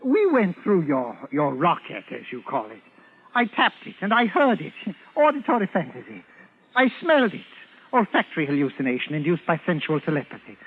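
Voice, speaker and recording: An actor playing a courteous, condescending psychiatrist in old-time radio drama style, pedantic and deliberate, with fussy hesitations. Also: male voice, a little high, huge pitch swings. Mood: fascinated, pompous. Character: smug, unshakeable Martian psychiatrist, courteous and condescending. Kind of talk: 1940s-50s radio drama acting. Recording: noisy, tinny old radio recording.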